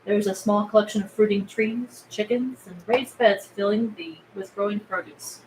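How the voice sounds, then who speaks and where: A woman's voice: mid-range voice, fairly even intonation, moderate pace, darkish tone, low-quality recording. A woman narrator reading screenplay stage directions aloud at a table read. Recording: faint background noise.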